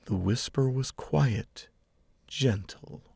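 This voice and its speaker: A man reading scripted dramatic fiction as narrator, in a measured, grave manner. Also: medium pitch, gravelly, dark tone. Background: music.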